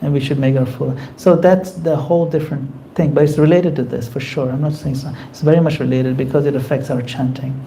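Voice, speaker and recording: A man leading a devotional class, answering questions from the group in an earnest, gently advising way. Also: mid-range voice, fairly even intonation, brisk, gravelly, few pauses, slight background noise. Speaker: class leader taking questions. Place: community temple class.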